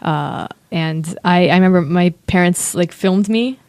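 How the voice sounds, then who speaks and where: Soft-spoken young woman guest. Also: lowish voice, measured pace, clean tone, dry recording. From USA. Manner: soft-spoken, hesitant, many ums and likes. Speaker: guest musician. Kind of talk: casual interview answers.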